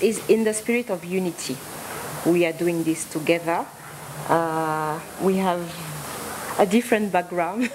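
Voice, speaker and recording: A woman from West Africa answers questions at a press conference in an unhurried, thoughtful way. Her voice sits a little low and she pauses often. Steady room noise sits behind her. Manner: slow, thoughtful, frequent fillers and laughs. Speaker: gospel singer hosting a concert. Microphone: table microphone.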